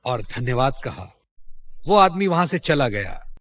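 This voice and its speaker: A man's voice: mid-range voice, big pitch swings, a little slow, a bit bright. A man narrating a scripted moral story for children as a storyteller.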